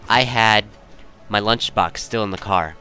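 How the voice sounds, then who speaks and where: A man's voice: on the low side, unhurried, sharp tone, soft background music. A young man telling personal stories in a casual, rambling way, often interrupting himself to second-guess his wording. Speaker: storyteller.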